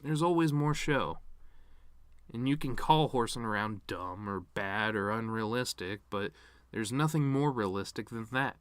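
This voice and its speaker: A man's voice: on the low side, lively intonation, measured pace, clear, resonant tone, no room sound. A man performing a dramatic monologue as a bitter, grieving middle-aged cartoon horse-man, sardonic, rambling and wounded. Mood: sardonic, agitated. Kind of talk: dramatic reading of a eulogy monologue.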